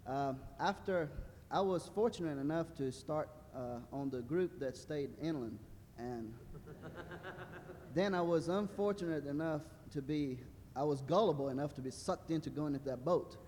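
A man's voice: normal speed, a little mellow. A man in his thirties, originally from Brazil, giving a hesitant church testimony with many fillers and a wry humor. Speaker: mission trip translator. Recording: reverberant hall with low rumble.

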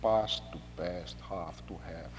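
A man from Indonesia with an Indonesian accent, his first language, preaching a sermon in measured, deliberate phrases with dry humor. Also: low voice, music in the background. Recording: long hall reverb with faint hum.

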